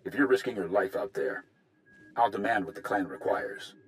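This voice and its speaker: An actor playing a gruff, loyal Mandalorian warrior advisor in a video game, measured and blunt. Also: male voice, deep voice, lively intonation. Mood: firm. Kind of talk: video game cutscene acting.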